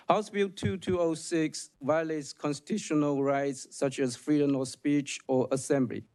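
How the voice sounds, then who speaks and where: A man's voice: on the high side, darkish tone. Earnest man, Chinese native speaker. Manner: earnest, formal, slightly halting. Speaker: public witness. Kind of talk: prepared testimony at a hearing.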